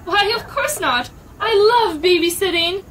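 Vain, perky young secretary for comic audio drama female performer; very high, animated voice. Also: normal speed, noisy background. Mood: cheerful, flustered.

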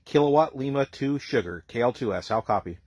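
Husky man on ham radio. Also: mid-range voice, animated, brisk, a little mellow, dry recording. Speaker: amateur radio operator. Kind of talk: ham radio contact, phonetic spelling.

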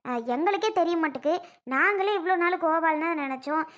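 A woman's voice: very high voice, quick pace, a bit bright. A woman with a southern Tamil Nadu accent playing a worried, practical friend of a bride, coaxing and admonishing in a family drama. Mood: worried, defensive. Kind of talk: village family drama acting.

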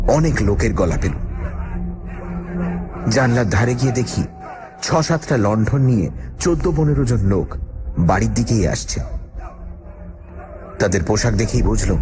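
Frightened storyteller, low voice, dramatic suspense narration, radio horror drama, prominent music underneath. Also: male voice. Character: frightened man telling his haunted-house ordeal. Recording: loud eerie music and effects behind.

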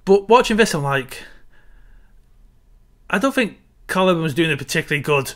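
A man in his thirties co-hosting a casual film chat from home on a headset video call, measured and opinionated. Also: fairly high pitch, expressive, a bit bright. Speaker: podcast co-host.

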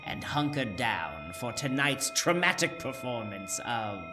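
A man's voice: medium pitch, big pitch swings, measured pace. A man playing a gleeful, macabre horror show host, teasing and theatrical. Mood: sinister, teasing. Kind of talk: theatrical horror anthology introduction. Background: music.

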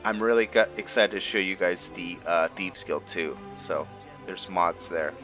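Male gaming host, deep pitch, casual low-key commentary, thinking aloud, slightly muffled recording, faint game music. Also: measured pace, fairly bright tone. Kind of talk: casual gameplay commentary with role-play. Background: game music and character voices.

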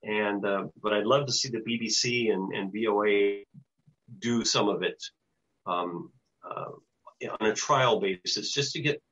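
An older American man giving an informal presentation and answering questions in a halting, hesitant way over a video call. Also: fairly low pitch, fairly bright tone, breathy edge. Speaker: presenter, radio show producer. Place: small home office with bookshelves, indoors. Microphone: computer mic on a video call. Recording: dull lo-fi video call audio.

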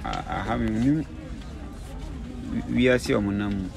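A man's voice: fairly low pitch, moderate pace, vocal fry. A man chatting casually, repeating phrases and switching languages. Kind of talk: casual chat.

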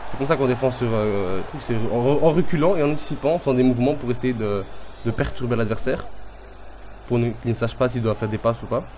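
A man with a cold commentating his own football video game match in a casual, excitable way, calling out to the players and the referee. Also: mid-range voice, brisk, slight background noise. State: has a cold.

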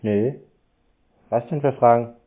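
A man's voice: mid-range voice, measured pace. A man in a phone conversation, answering curtly, stonewalling and repeating himself. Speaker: call recipient baiting phone scammers. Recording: tinny phone-like call audio.

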